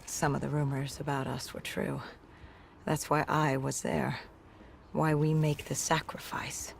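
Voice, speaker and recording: An actor playing an augmented soldier in a video game, haunted and resolute, recounting past missions in grave, measured storytelling. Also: mid-range voice, little pitch movement, creaky, a little hiss or hum. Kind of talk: dramatic game cutscene narration. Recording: music under the voice. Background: music.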